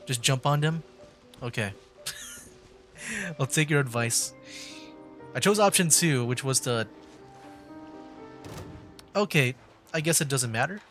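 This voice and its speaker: A man chats casually through a game livestream in a mid-pitched voice, thinking aloud as he goes. His talk rambles loosely, with game music playing behind him. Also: lively intonation, slow, long pauses, fairly bright tone. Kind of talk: casual live game commentary.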